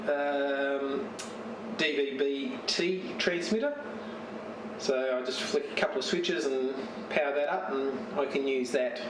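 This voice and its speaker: A man giving a casual, unscripted show-and-tell as a radio hobbyist, speaking haltingly and searching for words. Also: mid-range voice. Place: home radio shack. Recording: roomy, distant mic with steady noise.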